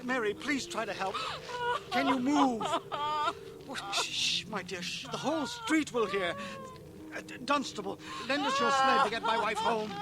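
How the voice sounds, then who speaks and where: An actor playing a fussing, anxious, pious village minister and husband in a radio drama. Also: male voice, very high voice, sing-song, measured pace, exaggerated voice. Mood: flustered, anxious. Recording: loud noise on old cassette transfer.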